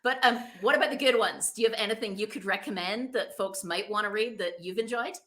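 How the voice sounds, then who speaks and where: A woman with a bright, forward voice hosts a chatty interview podcast. She sounds enthusiastic and encouraging at an average pitch, keeping the conversation informal. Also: quick pace. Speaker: podcast host.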